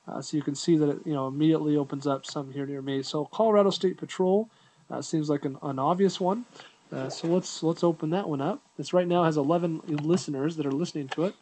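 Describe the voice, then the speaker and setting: Man with a higher-pitched voice, casual tutorial. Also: fairly even intonation, fast talker, a little mellow, rough recording. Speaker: app reviewer giving a tutorial. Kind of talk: casual unscripted screen walkthrough.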